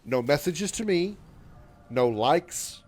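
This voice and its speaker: A man's voice: fairly high pitch, lively intonation, slow, darkish tone. A man in his thirties chatting casually with viewers on a game livestream. He rambles, repeats himself, stumbles over his words and runs himself down in a glum way. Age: 30s. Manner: glum and self-deprecating. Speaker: game streamer.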